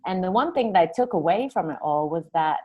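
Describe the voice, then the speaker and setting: A woman with a low voice answers interview questions in a loose, rambling way. She circles back, restarts her sentences and leans on fillers as she goes. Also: animated, brisk, husky, lo-fi sound. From New Zealand and Australia. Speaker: guest, singer-songwriter. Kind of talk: casual interview conversation.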